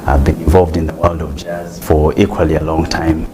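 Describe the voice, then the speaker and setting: A man's voice: rumbling low, fairly quick, a crowd in the background. A man in his forties with a Ugandan English accent, a technology executive talking easily about himself as an interview guest. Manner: relaxed, self-aware, with little restarts. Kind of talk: interview conversation.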